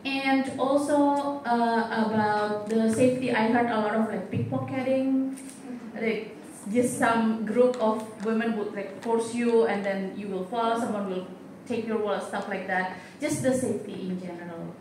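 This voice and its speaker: A woman's voice: a little high, fairly even intonation, unhurried, a little mellow. A woman from Indonesia asking long, rambling interview questions with many fillers. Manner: chatty, rambling with fillers and restarts. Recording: roomy echo, distant mic, faint noise.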